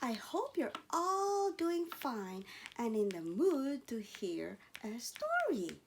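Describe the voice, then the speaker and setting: A woman reading a children's story aloud in an expressive storytelling style. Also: fairly high pitch, slow, creaky, slight background noise.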